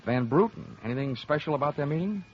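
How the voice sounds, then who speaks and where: An actor playing a dry, wisecracking insurance investigator in an old-time radio detective show, on a muffled, noisy recording. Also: male voice, on the high side, animated, quick pace, close to an everyday voice. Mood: brisk, wry. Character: wisecracking hard-boiled male insurance investigator, brisk and dry.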